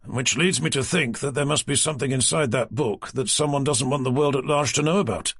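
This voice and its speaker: A man voicing a calm, dry-witted male chief inspector who asks measured, probing questions. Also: medium pitch, slightly rough, dry recording.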